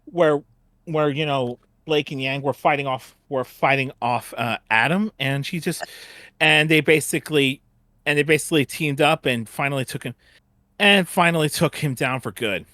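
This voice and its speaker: A man hosting a casual fan chat in a halting way, often repeating phrases as he goes. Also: fairly high pitch, pushed and bright, no echo, studio quality. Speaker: host of a fan discussion.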